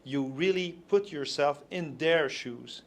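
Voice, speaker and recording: A man's voice: on the high side, slow pace. A man with a French-Canadian accent, a native French speaker, explaining his approach as a motivational speaker in an interview.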